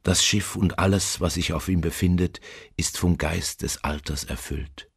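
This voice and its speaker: Very deep, gravelly haunted seafarer. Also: male voice, no echo, clean studio sound, heavily acted. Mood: doomed, solemn. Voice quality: very deep, gravelly, husky, at times near whisper. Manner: slow, brooding, solemn, with drawn-out words. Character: haunted male seafarer recounting his doom aboard a ghost ship. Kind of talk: literary radio drama monologue.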